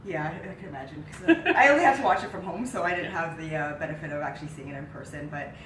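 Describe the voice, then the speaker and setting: A woman's voice: deep voice, quick pace. Nasal-voiced woman with a cold. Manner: chatty, animated when excited. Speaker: co-host. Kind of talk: casual sports chat. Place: living room couch. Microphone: far camera mic. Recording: roomy, distant, hissy.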